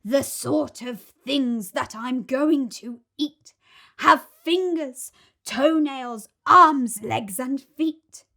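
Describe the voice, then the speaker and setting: A woman's voice: sits high, extremely slow, gravelly, sharp tone, heavily acted. A woman voicing a huge, greedy, boastful male crocodile in a storybook, drawing out words with sly, menacing relish. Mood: sly, gloating.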